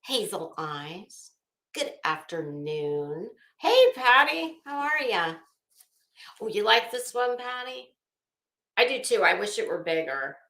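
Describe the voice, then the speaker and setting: A woman's voice: medium pitch, very animated, slow, sharp tone. A woman host chatting casually and bluntly with viewers on a livestream, informal and talkative. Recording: echoey room.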